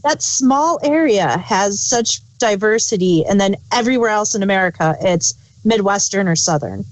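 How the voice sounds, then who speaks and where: A woman's voice: mid-range voice, animated, moderate pace, no echo, poor audio. A woman chatting casually as a co-reviewer, repeating her points for emphasis. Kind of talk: casual chat.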